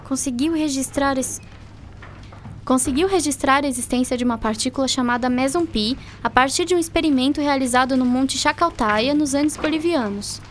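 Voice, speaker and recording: Curious, excitable schoolgirl played by a woman for radio play: very high voice, expressive, thinking aloud. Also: normal speed, a little hiss or hum, natural voice. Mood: curious, musing. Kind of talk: radio drama acting.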